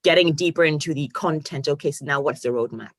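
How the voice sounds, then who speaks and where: A woman from Ghana in her forties, an online course coach telling her story in an animated, casual way with restarts and fillers. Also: low-pitched, fast, dry recording, clean studio sound. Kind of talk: casual interview chat.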